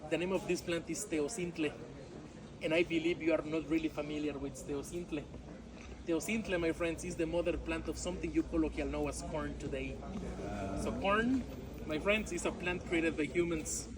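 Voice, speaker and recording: A man's voice: fairly high pitch, steady tone, normal speed, noisy background. A man whose first language is Yucatec Maya, speaking English with a Mexican Spanish accent as a tour guide, chatty and jokey, often saying "my friends" and "all right?". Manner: jokey, laughs at his own jokes. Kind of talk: outdoor walking tour talk. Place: outdoors among ruins, tour group around. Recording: outdoor crowd of tourists behind.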